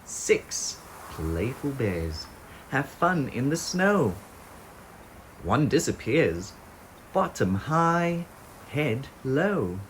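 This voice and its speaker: Slow, very animated male storyteller. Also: medium pitch, low-quality recording. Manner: expressive sing-song storytelling with deliberate phrasing. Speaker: children's story narrator. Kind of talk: read-aloud picture book narration. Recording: faint background noise with sound effects.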